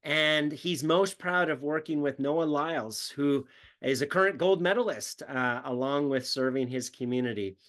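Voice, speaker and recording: A man's voice: on the high side, moderate pace, fairly bright tone. A man hosting a business interview show, friendly and laughing often. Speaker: podcast host, business coach.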